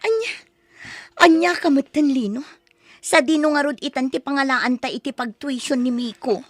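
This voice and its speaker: A fretful wife and mother who pleads with her gambling husband and soothes her son, as a woman acts her out. Her voice sits high and sounds close and dry. It is an audio-drama role. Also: normal speed, no room sound, natural voice. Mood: alarmed. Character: worried wife and mother, middle-aged woman.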